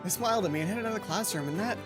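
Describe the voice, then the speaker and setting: A woman's voice: medium pitch, lively intonation, very fast. A woman reading a visual novel aloud as a let's play host, adding her own asides. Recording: background game music. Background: music from the game.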